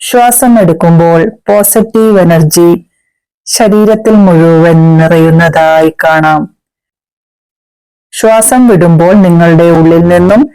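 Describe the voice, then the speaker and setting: A woman leading a guided meditation as a teacher, in a measured, soothing manner with pauses. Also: fairly low pitch, dark tone, clear, resonant tone, rough recording.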